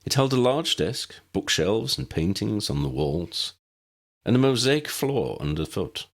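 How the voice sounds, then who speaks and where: Deep-voiced, husky man narrating a story. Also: very animated, unhurried. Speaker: audiobook narrator reading fan fiction.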